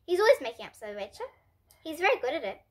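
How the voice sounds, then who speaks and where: A young girl voicing a calm, knowing mother in a children's storybook, assured, agreeable and reassuring. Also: high voice, racing. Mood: matter-of-fact.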